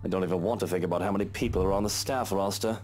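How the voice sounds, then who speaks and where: A man's voice: low-pitched, fairly even intonation, racing, darkish tone, soft background music, close to an everyday voice. A man playing a casual, flirtatious, wealthy lord of a mansion in a visual novel game, with an informal, breezy manner. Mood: breezy, chatty.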